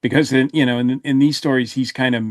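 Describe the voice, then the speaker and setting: A man's voice: medium pitch, little pitch movement, fast talker, dry recording, studio quality. A man chatting casually as a podcast panelist, often hesitating and repeating words as he gives his opinion. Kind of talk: casual review chat.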